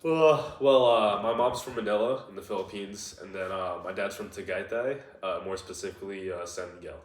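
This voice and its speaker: A man's voice: lowish voice, a little fast, echoey room, recorded from a distance. A young man in his twenties answering interview questions casually, with plenty of "like" and "uh" fillers. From United States. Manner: relaxed, lots of "like" and "uh" fillers. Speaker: interviewee, nutrition student.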